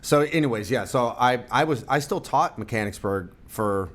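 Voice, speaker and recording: A man's voice: medium pitch, expressive, moderate pace, fairly bright tone. A man from the United States, a guest on a casual podcast, talking in a rambling, self-deprecating way with lots of fillers and restarts. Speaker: guest, percussion company representative.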